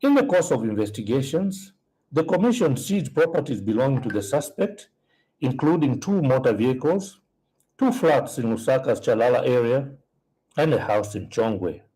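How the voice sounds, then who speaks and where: A man reads out a formal statement deliberately. His voice is dark and mellow with a husky grain, at an average pitch for a man. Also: sing-song, poor audio. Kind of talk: reading a prepared official statement.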